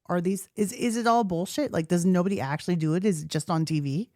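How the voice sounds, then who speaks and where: A woman co-hosting a casual podcast, firing off quick questions and teasing her co-hosts. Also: fairly low pitch, darkish tone, no room sound, studio quality. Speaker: podcast co-host. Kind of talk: casual chat.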